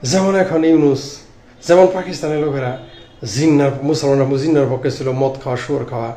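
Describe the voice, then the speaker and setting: A middle-aged man with a Bangladeshi accent gives informal political commentary to camera, speaking haltingly in short broken phrases. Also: a little high, fairly even intonation, dark tone. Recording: clearly noisy background ambience.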